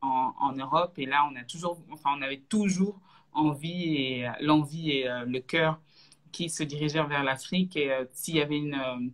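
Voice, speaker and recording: A woman in her thirties from Belgium, a guest on a live video chat, talking casually with many pauses and restarts. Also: deep voice. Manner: hesitant, many fillers and restarts. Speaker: guest, entrepreneur. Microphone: video call.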